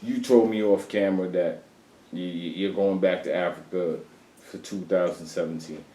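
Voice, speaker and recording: Deep-voiced male interviewer. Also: steady tone, moderate pace, dark tone. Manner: stammers, repeats words while asking. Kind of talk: casual interview questions.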